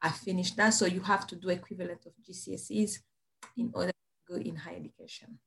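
Hesitant woman from Rwanda, lowish voice. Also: fairly even intonation, fast, creaky. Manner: hesitant, many fillers and restarts. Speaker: podcast guest, business founder. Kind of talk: casual interview storytelling.

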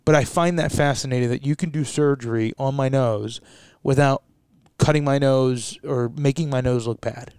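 Smooth-voiced chatty man co-hosting. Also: mid-range voice, moderate pace, darkish tone, no echo. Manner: rambling, chatty, laughs at himself. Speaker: co-host. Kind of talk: casual chat.

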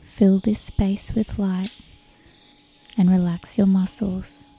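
Female meditation guide: very mellow, relaxed tone, even pitch, soft delivery, measured pauses. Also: slightly rough. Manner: soothing, measured, with long pauses. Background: soft meditation music with birdsong.

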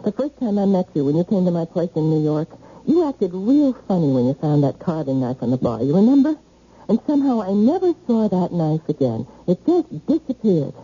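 A woman's voice: lowish voice, sing-song, a little fast, warm tone, husky. An actress playing a hurt, insistent young woman in love, confronting her boyfriend emphatically in an old-time radio drama. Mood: accusing. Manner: emphatic, accusing, plain-spoken. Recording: muffled old radio recording.

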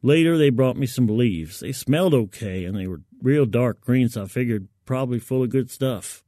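A man's voice: medium pitch, animated, normal speed, mellow, no echo, clean studio sound. A man narrating a first-person story in a colloquial, wry, folksy manner. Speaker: fiction narrator.

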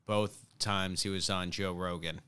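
A man's voice: deep voice, fairly even intonation, unhurried, pushed and bright, clean tone. A man hosting a podcast in casual comedic chat, with an old-school radio voice and a dry, wry manner. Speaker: podcast host.

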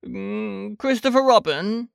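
A narrator voicing a slow, earnest, anxious male toy bear who muses hesitantly in a children's storybook. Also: mid-range voice, animated, vocal fry, clear, resonant tone, no room sound, clean studio sound, pitched above the performer's own voice, exaggerated voice. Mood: musing, suspicious. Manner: hesitant, deliberate, drawn-out musing.

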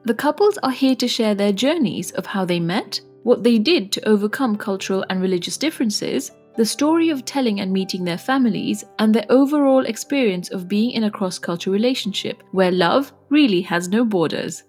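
A woman's voice: mid-range voice, lively intonation, a little fast, hardly any pauses, darkish tone, husky, soft background music. A woman hosting and interviewing guests in a conversational way. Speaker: podcast host.

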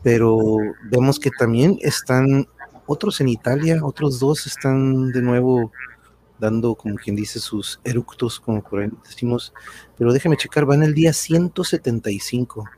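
A man's voice: medium pitch, moderate pace, warm tone, slight background noise. A man hosting a casual livestream chat in a rambling way, often chuckling. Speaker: host.

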